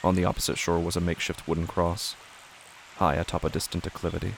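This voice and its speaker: A man narrating a scripted horror story in a hushed, deliberate, brooding, gravelly manner. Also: low-pitched, level tone, a little hiss or hum.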